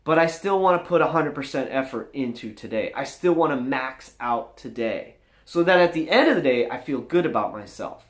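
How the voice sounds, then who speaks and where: A man's voice: mid-range voice, animated, moderate pace, few pauses. A man giving an earnest, emphatic motivational talk to camera as a vlog host, encouraging his viewers. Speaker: vlogger, channel host. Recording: roomy indoor echo.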